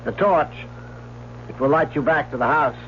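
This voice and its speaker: An actor playing a stern, formal, menacing older guardian with a clipped, pompous manner in an old-time radio drama. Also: male voice, on the high side, normal speed, fairly bright tone, natural voice. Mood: commanding. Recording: muffled, noisy old radio recording.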